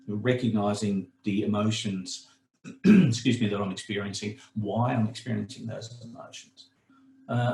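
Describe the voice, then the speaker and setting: A man with a slightly croaky voice presenting a training webinar in a relaxed, conversational way with frequent fillers. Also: mid-range voice, echoey room, low-quality recording.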